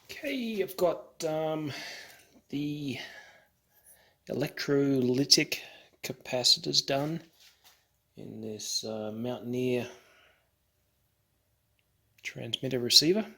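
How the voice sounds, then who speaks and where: A man giving casual, unscripted how-to commentary as a hobbyist at work on a build, halting and thinking aloud as he goes. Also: medium pitch, expressive, gravelly, sharp tone. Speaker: hobbyist narrating an electronics kit build.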